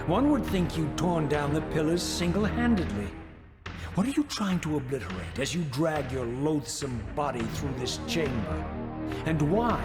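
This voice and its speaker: An actor playing a sardonic undead vampire wraith who speaks in measured, probing arguments. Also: male voice, a little high. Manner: measured, sarcastic. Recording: echoey with loud score.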